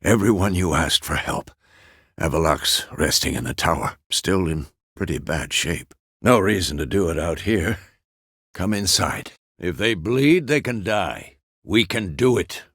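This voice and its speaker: Old fantasy game mentor, gruff, fatherly, weary, steadfast, deep-leaning gravelly voice, terse and authoritative, dry studio-close sound. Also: expressive, measured pace, fairly bright tone, dry recording, exaggerated voice. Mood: measured, grave. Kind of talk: fantasy video game character dialogue.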